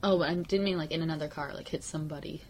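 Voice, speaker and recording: Slightly low-voiced woman in casual chat. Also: fairly even intonation, fast talker, a little mellow, slight background noise. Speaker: podcast host. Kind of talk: casual chat, conversational questioning.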